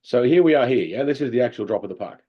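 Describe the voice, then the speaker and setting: A man coaching amateur hockey players over a call, talking through game footage in an animated way and laughing often. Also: medium pitch, fast, dull audio. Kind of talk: video review of game footage. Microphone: video call.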